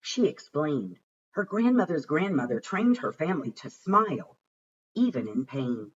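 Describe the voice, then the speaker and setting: A man reading a rhyming children's storybook aloud with a rhythmic, expressive and deliberate delivery. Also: a little high, lo-fi sound, slight background noise. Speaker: reader of a children's picture book.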